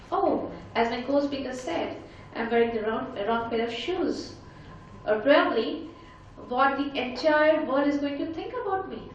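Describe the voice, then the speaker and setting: High-voiced Indian-accented woman, a motivational speaker firing rhetorical questions, captured at a distance in a booming hall with faint noise. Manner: emphatic, full of rhetorical questions. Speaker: motivational speaker and life coach. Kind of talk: motivational talk to a live audience. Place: large conference hall with an audience. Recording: very echoey hall, voice picked up from afar.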